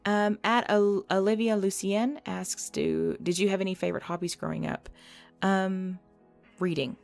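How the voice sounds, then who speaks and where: A woman's voice: medium pitch, steady tone, moderate pace, vocal fry. A young adult woman answering listener questions in a casual, chatty, self-deprecating way. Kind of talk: casual Q&A chat. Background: background music.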